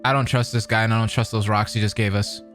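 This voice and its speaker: A man's voice: fairly low pitch, fairly even intonation, fast talker, over music. A man streaming a game and making casual, joking reaction comments. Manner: joking, laid-back, says "bro".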